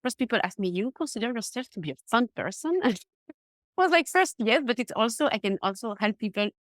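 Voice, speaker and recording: A young woman from Switzerland, not a native English speaker, chatting as a confidence coach guest in a casual podcast interview, animated with many fillers. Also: a little high, fairly quick, no echo, studio quality.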